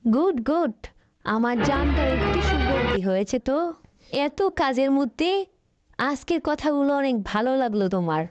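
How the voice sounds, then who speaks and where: A woman's voice: sits high, animated, moderate pace, soft background music. A woman voicing a vain, scheming female political leader in a comic cartoon parody, coquettish and nagging, on a dull noisy recording. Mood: approving, scheming.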